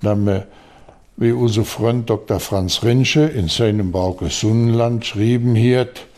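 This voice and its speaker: An older man, telling a story slowly in an interview, halting with fillers and restarts. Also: medium pitch, fairly even intonation, a little mellow. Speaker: local dialect enthusiast.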